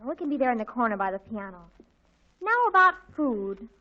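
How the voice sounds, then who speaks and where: A woman playing an excitable, bossy teenage girl in a 1940s radio comedy, dramatic and wheedling. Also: on the high side, a little fast. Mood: excited, bossy. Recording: muffled 1940s radio recording.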